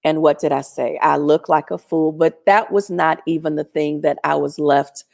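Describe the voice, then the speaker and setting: Woman guest, lowish pitch, strong loud voice, lively chatty storyteller on a video call. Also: normal speed, gravelly. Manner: chatty, animated, acts out quoted voices. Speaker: featured guest. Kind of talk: storytelling testimony.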